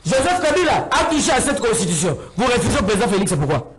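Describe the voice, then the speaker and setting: A man's voice: very high-pitched, sing-song, fast, background noise. A man with a Congolese accent in a heated political debate, arguing insistently and repeating himself. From Congo (DRC). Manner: insistent, repetitive, argumentative.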